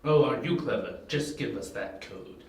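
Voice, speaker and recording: A man's voice: mid-range voice, animated, moderate pace, close to an everyday voice. Distant, echoing dim villain played by a man. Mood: menacing. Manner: terse, commanding. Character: gruff, dim, menacing male henchman boss. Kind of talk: amateur audio drama acting. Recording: very echoey, distant from mic.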